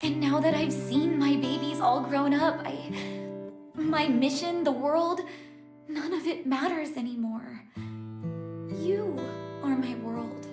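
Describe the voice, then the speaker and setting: Higher-pitched solemn priestess mother. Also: takes it slowly. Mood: tender, emotional. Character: young-looking priestess of a dragon god, long-lost doting mother. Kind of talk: English game dub voice acting. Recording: echoing voice under prominent music. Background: guitar and instrumental music.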